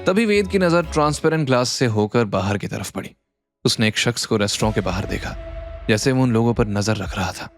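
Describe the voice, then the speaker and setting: A man's voice: medium pitch, expressive, brisk, soft background music. A man narrating a scripted drama story as its narrator, in a dramatic style.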